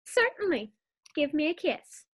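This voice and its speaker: A woman's voice: high voice, very animated, a little slow. A woman playing a perky, exasperated housewife with emphatic comebacks in an old-time radio sitcom. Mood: teasing.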